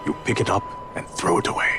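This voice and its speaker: An actor playing a gruff, blunt sky pirate fleet leader in a video game, with brusque authority and old-fashioned phrasing. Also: male voice, fast, music in the background, lightly acted. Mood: grim, brusque. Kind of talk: dramatic video game cutscene acting. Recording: loud game score behind dialogue.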